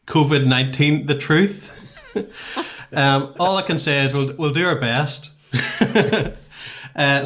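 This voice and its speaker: A man hosting a podcast, introducing guests in casual chat with friendly chuckles and many ums. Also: mid-range voice. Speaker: podcast host.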